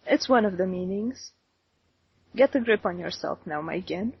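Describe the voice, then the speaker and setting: Brief: solemn, possessive male deity, slow decrees, very breathy, dull lo-fi sound, read by a woman. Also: mid-range voice, animated, voice pushed down, heavily acted. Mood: commanding. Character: commanding male god, calm and possessive. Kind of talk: audiobook character reading. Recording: dull lo-fi recording.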